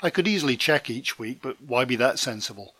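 A man's voice: mid-range voice, expressive, fairly quick, pushed and bright, no room sound. Man with a cold, dry-voiced. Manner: dry, self-deprecating asides. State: head cold, voice deeper than usual. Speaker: podcast host and trade magazine editor. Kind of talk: read-from-script podcast news roundup. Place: home in Scotland.

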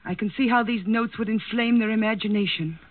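A woman's voice: a little high, steady tone, fairly quick, dry recording, natural voice. A woman acting a poised, calculating countess, speaking in a refined, formal way in a 1940s radio drama. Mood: cool, calculating. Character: poised, calculating aristocratic countess, coolly composed. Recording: tinny 1940s radio broadcast recording.

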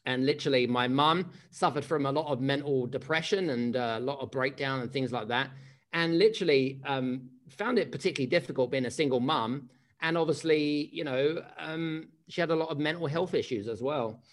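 A man's voice: mid-range voice, a little fast. A man, giving a chatty motivational keynote with many restarts and questions to the audience. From England. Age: 30s. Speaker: keynote speaker.

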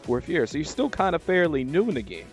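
A man hosting a radio show, interviewing a guest in a relaxed, casual way and laughing often. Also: a little high, quick pace, soft background music. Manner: laid-back, laughs often. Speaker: radio host.

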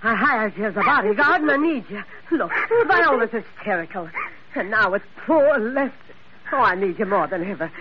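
An actress playing a brassy, needy older saloon keeper in an old radio drama, folksy and familiar. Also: female voice, mid-range voice, lively intonation, moderate pace, over a noisy crowd, lightly acted. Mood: pleading. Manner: folksy, familiar, calls people honey. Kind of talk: 1940s-50s radio drama acting. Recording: dull, slightly noisy vintage radio recording.

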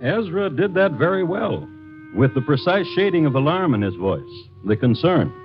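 A man's voice: a little high, very animated, moderate pace. Old-time radio host guy, wry theatrical storytelling, music under, kinda muffled. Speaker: host and narrator. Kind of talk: 1950s radio scripted dramatic narration.

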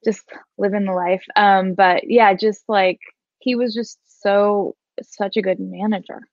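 A woman's voice: medium pitch, steady tone, a little slow, dry recording, lo-fi sound. A woman in her thirties chatting casually, often laughing, hesitant, with many fillers. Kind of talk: casual conversation.

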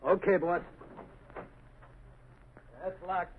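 An actor playing a dim, eager, obedient gangster henchman in a 1930s radio drama, with slangy, uneducated, excitable talk. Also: high-pitched, very slow. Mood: puzzled obedience. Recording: tinny, noisy 1930s radio recording.

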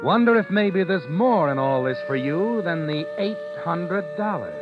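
A man's voice: fairly high pitch, lively intonation, unhurried. Sly knowing old radio mystery narrator guy, music behind him, muffled old recording. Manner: insinuating second-person address. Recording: muffled vintage radio broadcast.

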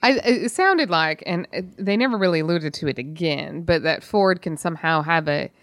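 A woman co-host with a moderately low voice chats casually about a book. She speaks in halting stretches, thinking aloud as she pieces details together. The recording is dry and free of room sound. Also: expressive, no echo. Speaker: podcast co-host. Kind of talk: casual book club chat.

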